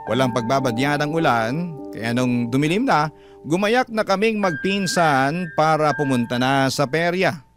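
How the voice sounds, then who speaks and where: Man narrating a radio love story. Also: medium pitch. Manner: gentle storytelling in first person. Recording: music bed behind. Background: music.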